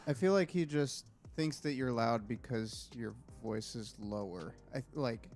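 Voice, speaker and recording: A man's voice: mid-range voice, measured pace, darkish tone, faint music behind. A man chiming in from off to the side of a casual stream with dry, matter-of-fact remarks. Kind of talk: casual chat.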